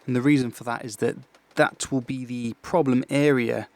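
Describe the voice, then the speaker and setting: A man working as a vocal coach, calmly explaining vocal health in a podcast lesson, measured and explanatory, restarting his phrases often. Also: mid-range voice.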